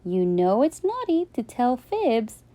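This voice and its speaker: A woman voicing a patient but firm mother at bedtime in a storybook reading, gently scolding. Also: fairly high pitch, big pitch swings, slow, pitched above the performer's own voice.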